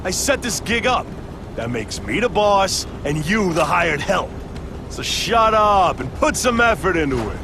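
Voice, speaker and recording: High-pitched, jittery American street thug. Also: male voice, big pitch swings, a little slow, full character voice. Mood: bossy. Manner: jittery, stammering under pressure. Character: bossy small-time gun thug, cowardly when cornered. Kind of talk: video game voice acting. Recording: noisy ambience with vehicle sounds.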